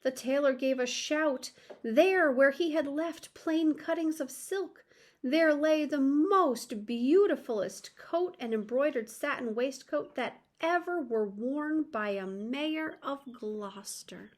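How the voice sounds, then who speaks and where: A woman reading a children's story aloud in an expressive storytelling manner. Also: sits high, slow pace. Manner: expressive, addresses young listeners.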